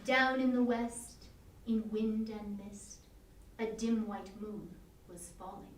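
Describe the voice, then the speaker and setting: A woman reciting a poem as a mournful country woman haunted by omens of death, in a deliberate, lyrical, solemn way. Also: medium pitch, close to an everyday voice. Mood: mournful, resigned. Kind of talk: dramatic poetry recitation. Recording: echoey room, distant mic, faint noise.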